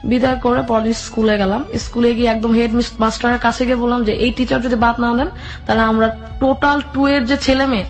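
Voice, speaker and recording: A woman's voice: on the high side, flat delivery, fast. A woman guest telling a personal story in run-on narration, quoting both sides of each conversation. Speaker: interview guest. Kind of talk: personal storytelling with re-enacted dialogue. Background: background music.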